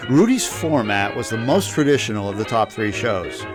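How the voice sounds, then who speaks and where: A man's voice: lowish voice, big pitch swings, moderate pace, music in the background. A man hosting a podcast, narrating a script about old radio shows. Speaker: podcast host.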